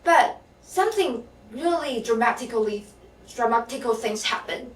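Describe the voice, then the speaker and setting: A teenage girl gives a confessional contest speech, pausing with ums and uhs as she tells it. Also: on the high side, animated. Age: teens. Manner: confessional, with fillers like um and uh. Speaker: student contestant. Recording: echoey hall, mic at a distance.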